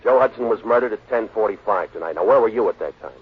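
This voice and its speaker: A gruff homicide lieutenant who doubts everything he hears. He talks quick and low in a blunt, clipped way. The sound is a thin old radio broadcast with faint hiss. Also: phone-quality sound. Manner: blunt, skeptical. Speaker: police lieutenant. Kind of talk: 1940s radio acting.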